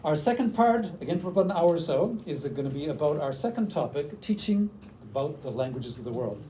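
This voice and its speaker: Older Canadian man, workshop presenter, dark mellow voice, slightly high, faint room noise. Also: expressive, a little fast, distant mic, rough recording. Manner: enthusiastic, tags lines with "okay?". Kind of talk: teacher workshop talk.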